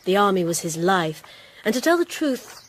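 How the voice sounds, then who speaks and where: An actress playing a proud, guarded rector's wife in a Victorian radio drama, speaking formally. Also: female voice, mid-range voice, very animated, normal speed, slight background noise, natural voice. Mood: reserved, proud. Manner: formal, crisp-worded, defensive. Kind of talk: period radio drama acting.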